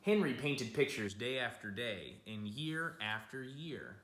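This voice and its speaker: Male storytelling teacher, bright voice, very lively pitch, children's picture-book reading, simple phrasing for young listeners. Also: a little slow. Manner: addresses young listeners with simple asides and questions. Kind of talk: expressive children's read-aloud. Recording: roomy, echoing room sound.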